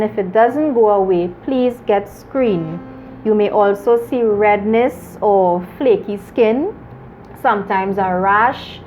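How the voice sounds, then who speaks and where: A woman hosting a talk show, earnest and measured, laughing often with her guest. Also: mid-range voice, darkish tone. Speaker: host.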